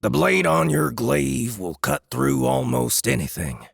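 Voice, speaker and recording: A man playing a boastful, hearty dwarf smith in a fantasy audiobook, with a booming, gravelly voice and a smug manner. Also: sing-song, a little slow, dry recording, clean studio sound, exaggerated voice. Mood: proud, explaining. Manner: smug, bragging. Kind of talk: dramatized fantasy audiobook acting.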